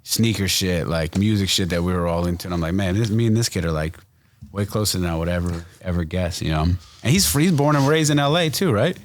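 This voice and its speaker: A man hosting a casual podcast interview, animated and slangy, swearing and saying "yo" a lot. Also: deep voice, brisk, few pauses. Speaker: podcast host.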